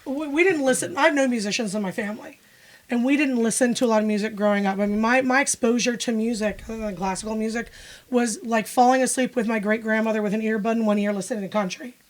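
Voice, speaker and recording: A woman's voice: fairly high pitch, steady tone, fast. A woman from Pennsylvania, a musician and music teacher, speaking frankly and wryly in a casual interview. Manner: frank, matter-of-fact, wry.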